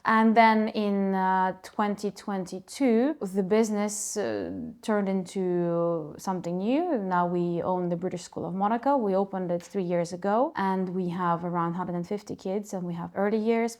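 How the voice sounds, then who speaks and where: A woman's voice: medium pitch, darkish tone. A woman in her thirties from Ukraine, a native Russian speaker, talking through her story as a school co-founder in an interview, chatty with frequent asides. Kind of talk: interview answers to camera.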